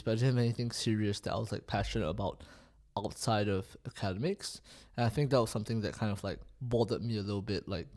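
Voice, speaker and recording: A man's voice: fairly low pitch, a little mellow. A man in his twenties from Singapore, chatting casually as a podcast guest and thinking aloud with lots of fillers. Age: 20s. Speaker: guest, student entrepreneur. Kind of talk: casual interview chat.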